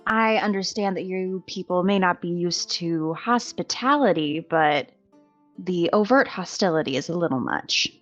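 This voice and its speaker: Woman playing a lively, politely reproachful outsider. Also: mid-range voice, muffled recording. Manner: formal, measured wording. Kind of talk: tabletop roleplay.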